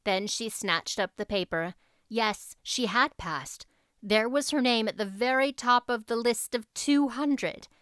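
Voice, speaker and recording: A woman reading a novel aloud as an audiobook narrator, in expressive scripted storybook style. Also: fairly high pitch, a little slow, clean tone, dry recording, studio quality.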